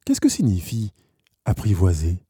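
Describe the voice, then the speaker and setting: A man's voice: deep voice, wildly swooping pitch, moderate pace, mellow, slightly rough, no echo, clean studio sound, pitched below the performer's own voice, full character voice. A man voicing a polite, innocent little boy prince in a storybook, asking simple questions. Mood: curious.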